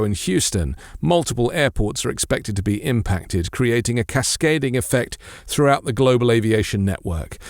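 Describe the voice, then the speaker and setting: A man reading scripted news as a presenter, with a formal, measured delivery. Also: medium pitch, no room sound, studio quality.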